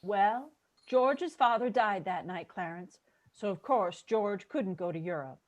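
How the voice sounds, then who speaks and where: A woman's voice: medium pitch, unhurried, lo-fi sound, slight background noise, natural voice. A woman playing a patient heavenly narrator in a 1940s radio play. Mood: patient, explanatory. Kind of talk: scripted radio drama narration.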